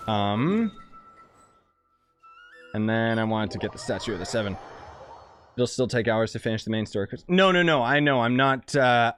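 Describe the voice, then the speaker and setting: A man's voice: medium pitch, lively intonation, normal speed, pauses often, a bit bright. A man giving casual, blunt and slangy live commentary as he streams a video game. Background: game music.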